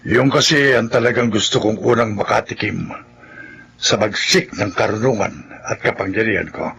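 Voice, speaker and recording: A man playing a solemn, gravelly folk healer in a Tagalog horror radio drama, speaking gravely and with emphasis. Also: lowish voice, normal speed, noisy background. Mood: grave, determined. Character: solemn male folk healer who battles evil creatures.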